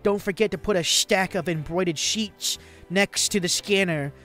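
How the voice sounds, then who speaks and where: A man's voice: sits high, moderate pace, fairly bright tone, faint music behind. A man voicing a chatty young bespectacled manga team member in a playful comedic visual novel dub.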